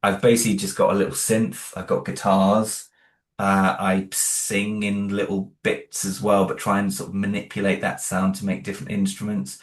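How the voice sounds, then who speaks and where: A man chatting in an interview about making music and sound for his audio drama, talking loosely with many fillers and restarts. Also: sits low. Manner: rambling, many fillers and restarts, self-deprecating. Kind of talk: casual interview chat.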